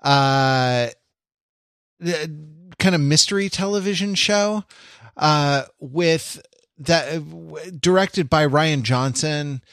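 A man co-hosting a casual pop culture podcast, talking in a halting, circling way and repeating phrases. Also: fairly high pitch, a bit bright, no room sound, clean studio sound. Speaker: podcast host.

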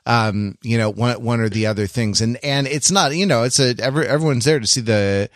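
A man with a mid-range voice talks through ideas on a podcast. He is halting and analytical, often circling back to qualify what he says. The sound is very dry, with no room around it. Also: medium pitch, dry recording. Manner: halting, thinking aloud, trailing qualifications. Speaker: podcast panelist. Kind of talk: casual analytical podcast discussion.

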